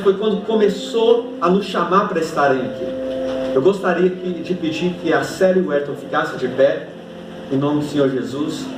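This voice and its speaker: A fairly high-voiced man pastor speaks to his congregation, moving between friendly jokes and emphatic scripture reading. He is heard from a distance in an echoey church hall. Room noise from the gathered congregation fills the background. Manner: warm joking thanks, then emphatic scripture reading. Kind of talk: sermon. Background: congregation ambience.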